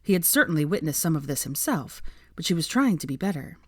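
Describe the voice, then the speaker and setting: A woman's voice: low voice, big pitch swings, quick pace, slightly rough, no echo, studio quality. A woman reading fiction aloud as an audiobook narrator, in scripted storytelling style.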